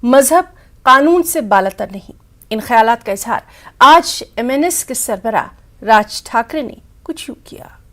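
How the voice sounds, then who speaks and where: Woman, news anchor, high pitch, scripted narration, formal measured delivery. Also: lively intonation.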